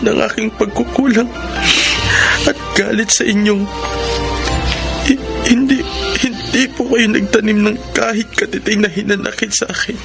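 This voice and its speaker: A man playing a remorseful grown child who pleads for forgiveness in a halting, emotional way in a radio drama. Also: high voice, fairly even intonation, vocal fry, over music, low-quality recording. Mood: tearful remorse. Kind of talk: Filipino radio drama acting.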